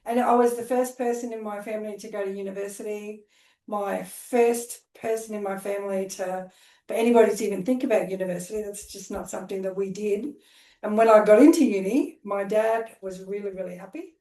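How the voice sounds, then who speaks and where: A woman's voice: mid-range voice, a little fast, mellow, far from the mic. A middle-aged Australian woman from Queensland, a social worker telling her life story in a casual, rambling way with plenty of fillers. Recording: roomy echo.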